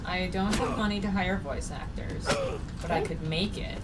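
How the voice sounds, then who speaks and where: A woman's voice: fairly low pitch, moderate pace. A woman author hosting a casual livestream, rambling with frequent laughs and fillers. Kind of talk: casual chat while streaming a game. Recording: distant mic with noticeable noise.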